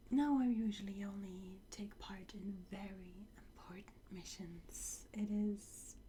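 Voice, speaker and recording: Slow, whispering woman in ASMR roleplay. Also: medium pitch. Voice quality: breathy whisper. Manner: slow, gentle, reassuring, with soft pauses and hums. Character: calm, courteous woman training time-travel recruits. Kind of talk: soft whispered ASMR roleplay, addressing the listener. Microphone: close ASMR microphone. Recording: close whisper with clear background noise.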